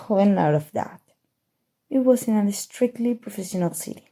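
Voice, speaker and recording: A smug evil sorcerer, acted by a woman for an ASMR roleplay. The voice is very dark and relaxed, husky, with a gravelly fry at the edges. It speaks softly and deliberately, teasing with cool menace and slipping toward a whisper. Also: mid-range voice. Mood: sly, measured. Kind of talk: ASMR roleplay, scripted character monologue.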